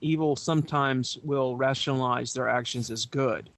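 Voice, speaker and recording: A man speaking as a podcast panelist, explaining in a measured, philosophical way in a casual discussion. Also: medium pitch, fairly even intonation, a bit bright, dry recording.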